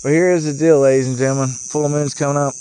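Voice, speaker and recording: A man speaking as a vlogger in a casual, off-the-cuff spiritual monologue, musing in broken phrases with pauses. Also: on the high side, steady tone, warm tone, slight background noise, poor audio. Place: outdoors, sitting in a rocking chair. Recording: outdoor recording with chirping insects. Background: cicadas or crickets.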